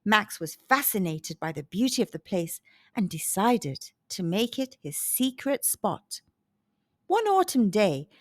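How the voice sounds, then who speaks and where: A woman narrating a scripted children's storybook in an expressive, measured storytelling manner. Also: fairly high pitch, no echo.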